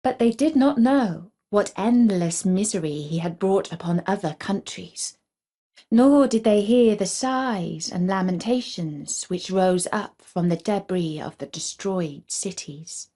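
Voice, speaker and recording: A woman reading a scripted fairy tale for children in a measured, deliberate storybook manner. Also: medium pitch, dark tone.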